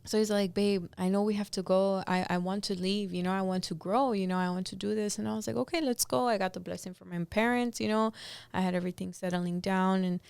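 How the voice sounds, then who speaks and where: A woman co-owner of a cleaning business, telling a lively story in a casual chat and acting out what people said. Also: medium pitch, a little fast, gravelly, few pauses, clean tone, no room sound. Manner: animated storyteller, acts out dialogue.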